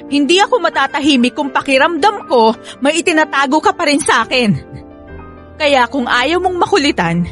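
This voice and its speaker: A woman playing a suspicious, insistent young girlfriend who presses for the truth in a radio drama, with a slightly husky voice. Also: high voice. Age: young adult. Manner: pressing, nagging, interrogating.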